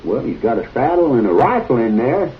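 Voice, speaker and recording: A man's voice: medium pitch, very animated, brisk, slight background noise, close to an everyday voice. A man playing a folksy, hesitant stagecoach driver with a drawling manner, on muffled old film audio with music.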